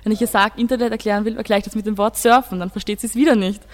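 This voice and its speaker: A woman's voice: medium pitch, fairly even intonation, rapid-fire, long reverb tail. A teenage girl, casually arguing her opinion as a student guest in a radio discussion.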